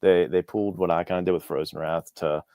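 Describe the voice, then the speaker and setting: Deep-voiced man chatting in an interview. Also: steady tone, fast, a little mellow, no room sound, studio quality. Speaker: guest author. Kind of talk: casual conversational interview.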